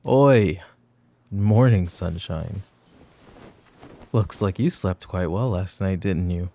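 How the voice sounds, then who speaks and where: A man playing a brooding mafia boss in a roleplay ASMR audio drama, calm, protective and teasing, with a softly commanding way of talking. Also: low-pitched, takes it slowly, creaky, exaggerated voice. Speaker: voice actor.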